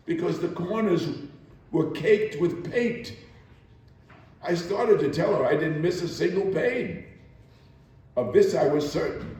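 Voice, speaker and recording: A man giving a theatrical first-person dramatic reading, deliberate and emphatic with dramatic pauses, recorded far from the mic in an echoey room. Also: on the high side, warm tone. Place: live performance in reverberant room.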